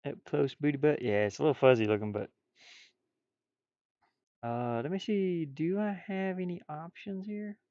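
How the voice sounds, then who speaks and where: A man's voice: a little high, normal speed, pauses often, a little mellow, dry recording. Muttering, folksy man in a dull, dry recording. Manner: muttering, thinking aloud to himself. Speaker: streamer and hobby artist. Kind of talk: casual livestream chat while drawing.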